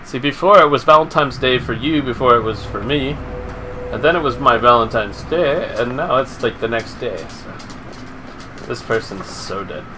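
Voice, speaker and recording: Male game streamer, thirties, informal and profane chat-and-play commentary, ordinary mid pitch, noticeably noisy audio. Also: normal speed, bright, forceful tone. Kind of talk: casual livestream gaming chat and commentary. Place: indoors at a home streaming setup. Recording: noisy stream with clear background noise.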